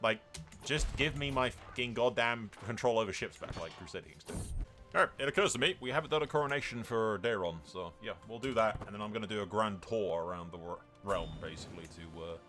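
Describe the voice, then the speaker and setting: A man's voice: fairly low pitch, brisk, sharp tone, soft background music. A man hosting a gaming roleplay playthrough, commenting casually and irreverently with frequent swearing.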